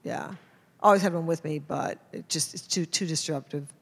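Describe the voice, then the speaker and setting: Halting American woman in a reverberant hall. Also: deep voice, vocal fry, breathy edge. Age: middle-aged. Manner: halting, self-interrupting, informal. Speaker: guest photojournalist. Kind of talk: casual question-and-answer talk before an audience. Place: indoor hall at a public talk.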